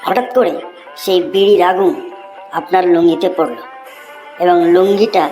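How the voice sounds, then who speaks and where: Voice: medium pitch, moderate pace, dark tone, faint music behind, natural voice. An actor voicing a cheeky young schoolboy with an earnest, deferential, pleading manner in a cartoon comedy. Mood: explaining slyly. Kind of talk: exaggerated Bengali cartoon comedy dubbing.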